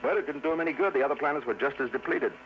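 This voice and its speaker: A man's voice: on the high side, racing, close to an everyday voice. Man playing a weary, defensive space captain, old radio. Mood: earnest, respectful. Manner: rambling, defensive, deferential with frequent "sir". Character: weary, defensive male space cargo captain, respectful but prickly. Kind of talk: 1950s radio drama acting. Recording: muffled, noisy old radio recording.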